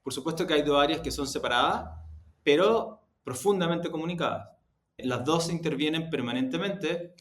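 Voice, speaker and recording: A man's voice: a little high, normal speed, fairly bright tone. A man with a Chilean accent giving explanatory, conversational interview answers, emphatic and often rephrasing himself as he goes. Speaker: media executive being interviewed. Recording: roomy, echoing sound.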